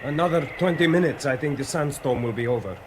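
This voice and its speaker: A man's voice: mid-range voice, quick pace, slight background noise, natural voice. Guarded, sullen henchman played by a male actor. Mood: sullen, resigned. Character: sullen, subdued Egyptian henchman, resigned and guarded. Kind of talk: radio drama acting.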